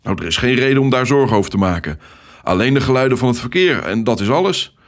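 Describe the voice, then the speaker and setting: A man voicing a cheerful, energetic, talkative male traffic controller in a children's story, with a hearty, encouraging manner. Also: medium pitch, fast talker, voice pushed up, close to an everyday voice. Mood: reassuring.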